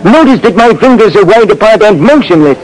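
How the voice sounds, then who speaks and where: A man voicing a bearded magician in an old-time radio drama, a smooth showman with a demonstrator's sales patter. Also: high-pitched, lively intonation, fairly quick, pitched above the performer's own voice, low-quality recording. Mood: showy salesmanship. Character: tall bearded male magician, smooth showman selling a trick.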